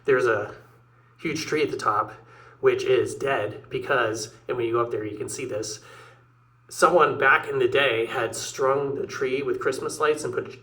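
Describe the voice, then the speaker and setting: A man's voice: medium pitch, fairly even intonation, a little slow, sharp tone. A man hosting his own climbing video, chatting casually and rambling through an anecdote with a laugh. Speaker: show host introducing episode. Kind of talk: casual chat.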